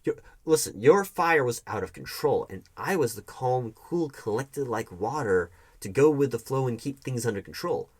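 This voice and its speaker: Man playing a defensive, wounded ex with swooping pitch. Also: expressive, breathy edge. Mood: defensive, exasperated. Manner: defensive, halting, repeats the other's accusations as questions. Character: defensive, wounded male hiring manager arguing with his ex. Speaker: roleplay performer. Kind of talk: one-sided audio roleplay, conversational acting.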